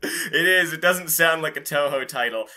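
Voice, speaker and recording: A man's voice: on the high side, normal speed, sharp tone. A man hosting a podcast, chatting casually about game news in an informal, rambling, casually profane way. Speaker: podcast host.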